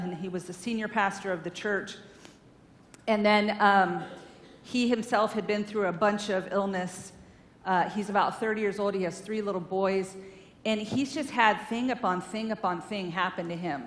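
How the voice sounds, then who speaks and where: A woman speaks at a church in a loose, conversational way, chatty and earnest, with plenty of fillers. Her voice comes over a stage microphone and carries a long reverb through a large hall. A faint low rumble sits underneath. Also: a bit bright. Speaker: guest speaker at a church, counselor. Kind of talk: informal sermon-style talk.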